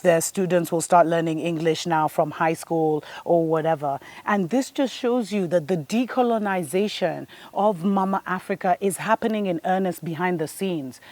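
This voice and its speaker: A woman's voice: fairly low pitch, a little mellow, no echo. Earnest woman preaching to viewers. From Kenya. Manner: earnest and preachy, addresses listeners as "family". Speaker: host of a spiritual show. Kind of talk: spiritual monologue to viewers.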